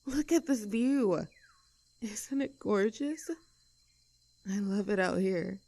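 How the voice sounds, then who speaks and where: A woman's voice: mid-range voice, slow, creaky, warm tone, no room sound. A woman playing a young, outdoorsy tomboy friend in an audio roleplay, chatting casually in an upbeat, caring and reassuring way. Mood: cheerful, warm. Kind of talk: roleplay audio acting, casual intimate chat.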